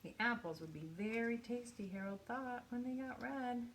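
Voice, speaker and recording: A woman reading a children's picture book aloud in an expressive storytelling manner, with pauses for effect. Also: a little high, a little hiss or hum, poor audio. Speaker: storybook reader.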